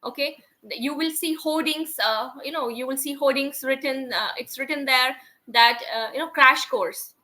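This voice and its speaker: Bright high-voiced Indian English woman. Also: moderate pace. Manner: explanatory, often ends with "right?". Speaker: English teacher, guest. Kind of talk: interview answers.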